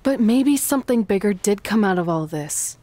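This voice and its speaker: A woman's voice: medium pitch, lively intonation, normal speed, dark tone, no echo, lightly acted. A woman acting as an earnest, teasing young wrestler and loyal best friend in a video game cutscene, speaking sincerely and conversationally. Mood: sincere, encouraging.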